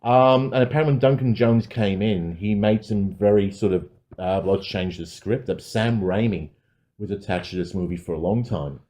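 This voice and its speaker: A man with a fairly low, dark and relaxed voice co-hosts a podcast. He talks films casually and informally, often correcting himself as he goes. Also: moderate pace. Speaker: podcast co-host. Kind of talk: casual film review chat.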